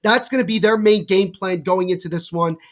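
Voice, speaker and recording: A young man from New Jersey with a stuffy cold, giving excitable play-by-play commentary on a livestream and talking to his chat. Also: high-pitched, brisk. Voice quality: nasal. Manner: excitable, bursts louder on big plays. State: congested from a cold.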